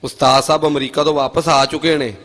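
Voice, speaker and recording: A man with a Pakistani Punjabi accent voicing a cheeky, quick-witted royal courtier in a comic cartoon dub. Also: fairly high pitch, flat delivery, fairly bright tone, close to an everyday voice. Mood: glib, reassuring. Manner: glib, mock-deferential. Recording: music under the voice.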